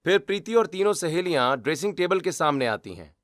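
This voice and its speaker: A man's voice: fairly high pitch, sing-song, a little fast, fairly bright tone. A man delivering scripted storytelling narration as the narrator of a drama.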